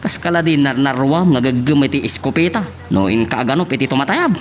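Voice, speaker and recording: A man's voice: mid-range voice, expressive, a little fast, soft background music, natural voice. A man playing a cocky, chummy friend who coaches his pal in an Ilocano audio drama. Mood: instructing. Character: cocky, laughing buddy teaching a friend to shoot.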